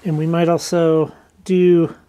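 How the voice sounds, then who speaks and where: Low-voiced woman giving a casual demonstration. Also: little pitch movement, slow, mellow, smooth. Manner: informal, thinking aloud. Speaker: rockhounding vlogger.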